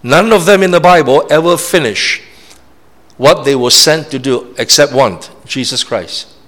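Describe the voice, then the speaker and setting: A man's voice: on the high side, animated, measured pace, a bit bright. A man from Malaysia, preaching a sermon in an emphatic, repetitive way and often checking in with the congregation. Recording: long reverb of a large hall.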